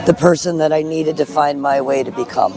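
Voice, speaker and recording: Female interview guest, middle-aged, hoarse, candid and earnest, music in the background. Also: sits low, fairly even intonation, a little fast. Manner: frank, earnest. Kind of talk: candid personal testimony. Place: at a festival in a park.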